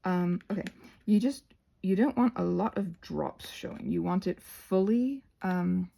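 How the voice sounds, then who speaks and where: A woman with a fairly low, mellow voice and a noticeable creak talks through a hands-on craft lesson. She rambles casually, breaking off her own instructions for playful little asides. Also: unhurried. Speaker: craft tutorial presenter.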